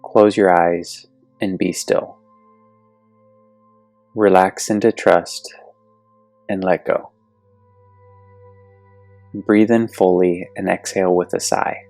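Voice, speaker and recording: Man, very low voice, meditation guide, soothing, long pauses, dry close sound, soft music behind. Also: steady tone, creaky, dry recording. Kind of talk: guided yoga nidra meditation.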